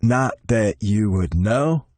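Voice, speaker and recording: A man's voice: lowish voice, expressive, slow pace, a little mellow, no room sound. A male narrator plays a sarcastic young man who rolls his eyes as he talks. The delivery is dry and a little lifted, recorded close and dry. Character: sarcastic young man, eye-rolling roommate.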